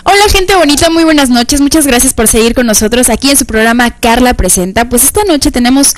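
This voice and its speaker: High-voiced young Mexican woman presenting. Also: expressive, a little fast, faint music behind. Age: 20s. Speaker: TV show host. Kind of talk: presenting and interviewing on a variety show. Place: small indoor TV studio.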